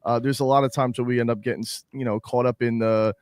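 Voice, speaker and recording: Man, casual podcast co-host, rapid rambling talk, enthusiastic, dry sound. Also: mid-range voice, steady tone, a little mellow, clean tone, no echo.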